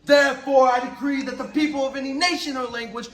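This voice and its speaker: A man playing an amazed, excitable king in a dramatized Bible recitation, loud and declamatory. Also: very high-pitched, fast. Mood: exalted, decreeing. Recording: echoey hall, far from mic.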